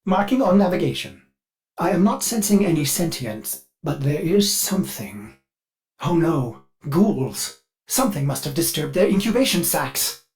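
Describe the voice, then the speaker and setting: An anxious, devoted ship computer for a video game. The delivery is very animated, swinging up and down with theatrical formality. It sounds like a fussy, solicitous servant. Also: male voice, a little high, a little slow. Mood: worried, alert. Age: older. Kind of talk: scripted video game voice acting.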